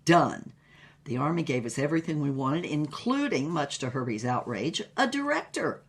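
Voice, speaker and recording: A woman playing a worldly, brassy older former starlet who tells racy memoirs in a husky voice, wryly and unhurriedly, with dramatic pauses. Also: low-pitched, very animated. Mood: wry, breezy. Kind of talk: comic scripted memoir narration.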